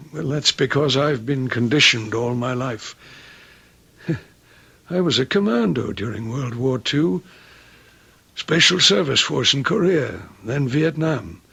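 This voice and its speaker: Slow, gravelly, devoted manservant killer. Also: male voice, fairly high pitch, bright, forceful tone, heavily acted. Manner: deferential, measured, menacing. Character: obsessively devoted manservant, ex-commando turned quiet killer. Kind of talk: radio mystery drama acting.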